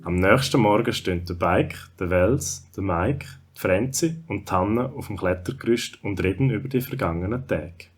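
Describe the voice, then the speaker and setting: A man's voice: lowish voice, normal speed, hardly any pauses, slightly rough, soft background music. A man with a Swiss German accent narrating a scripted children's story.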